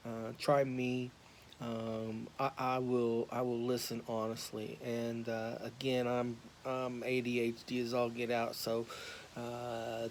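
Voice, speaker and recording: Casting: American male vlogger, mid-pitched gravelly voice, earnest unscripted rambling, raw phone recording with some background noise. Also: darkish tone. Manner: rambling, halting, earnest and informal, trailing from thought to thought. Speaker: YouTube vlogger and podcaster on addiction recovery. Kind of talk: impromptu, unscripted casual monologue to viewers.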